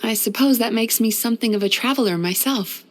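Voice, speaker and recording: A woman voicing a gentle, caring, centuries-old dream-eating spirit who works as a therapist in a video game, speaking in a soothing, polite way. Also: fairly high pitch, brisk, natural voice. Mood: chatty, thoughtful. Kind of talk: video game character voice lines.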